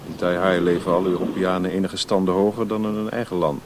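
Guy acting a world-weary reserved man, lowish voice, measured warnings, old radio drama with faint noise. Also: level tone, natural voice. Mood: cautioning. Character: world-wise, withdrawn European man warning a newcomer. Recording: noisy old radio play recording.

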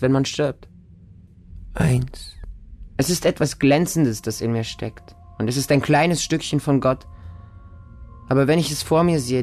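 A man's voice: mid-range voice, darkish tone, close to an everyday voice. An actor playing a distrustful feral orphan boy raised by dogs, narrating a radio drama in short, insistent, repetitive childlike sentences. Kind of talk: radio drama monologue, first-person narration.

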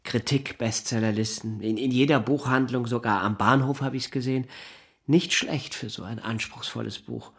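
An actor playing a flustered, talkative middle-aged newspaper science editor in a naturalistic radio drama, halting and digressive, with a husky voice. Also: male voice, mid-range voice, fairly even intonation. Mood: politely chatty. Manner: halting, digressive, breaks off sentences.

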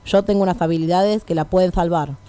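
A gallant masked hero played by a woman with a lowish voice. He declaims pompously and boastfully, in radio comedy style. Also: fast, lightly acted. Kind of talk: comic radio melodrama.

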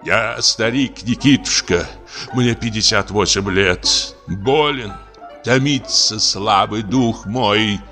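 A man's voice: on the low side, slow pace, pushed and bright. A man voicing a drunken, lonely comic actor of fifty-eight, frightened and maudlin, lamenting in a feverish, breathless, theatrical way. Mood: wretched, weary. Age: 50s. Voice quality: breathless, trembling. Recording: music under the voice. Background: music.

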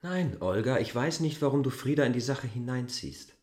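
An actor playing an indignant, stubborn outsider in a German radio drama, arguing insistently. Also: male voice, medium pitch, animated, normal speed, darkish tone, lightly acted. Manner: argumentative, insistent. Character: indignant, stubborn male outsider defending his beloved.

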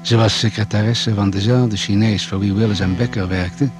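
An actor playing a matter-of-fact doctor who survived a crash, measured and no-nonsense, in a Dutch radio play. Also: male voice, lowish voice, fairly even intonation, music in the background, natural voice. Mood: grave, factual.

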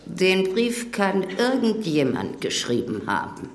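A woman's voice: mid-range voice, big pitch swings, slow pace, echoey room. An actress playing an accusing, defiant old mother-in-law testifying in a radio drama. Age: older.

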